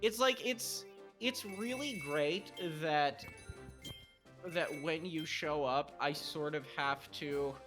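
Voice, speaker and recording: A man's voice: a little high, slow, music in the background. A man co-hosting a story-reading show, chatting casually and jokily with friends. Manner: jokey, crude humour.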